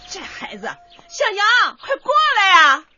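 An actress in a radio drama playing a middle-aged mother, warm, over-eager and anxious, coaxing and hesitant. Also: extremely high pitch, sing-song, takes it slowly, muffled recording, background noise, heavily acted.

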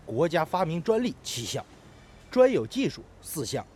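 An actor playing an eager, admiring young apprentice steel worker in a radio drama, earnest and excitable. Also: male voice, on the high side, normal speed, a little hiss or hum. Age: young adult.

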